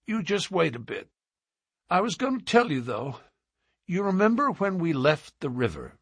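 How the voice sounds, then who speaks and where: A man's voice: a little high, wildly swooping pitch, moderate pace, dry recording, clean studio sound, voice pushed up, exaggerated voice. A man doing a parched old frontiersman. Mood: tender. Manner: rough, drawling, gentle.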